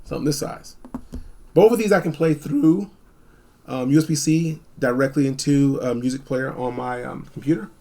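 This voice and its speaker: A man giving a casual, unscripted gadget review, blunt and informal, rambling and repeating phrases for emphasis, with a gravelly, husky voice. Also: mid-range voice, moderate pace, dark tone. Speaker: product reviewer.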